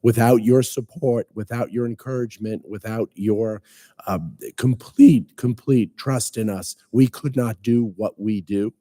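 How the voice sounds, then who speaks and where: A man with a hoarse voice from a cold, giving a formal, earnest speech as guest speaker at a veterans breakfast in a council chamber. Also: mid-range voice, fairly even intonation, a little slow, warm tone, no echo. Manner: earnest, ceremonial, with light humor.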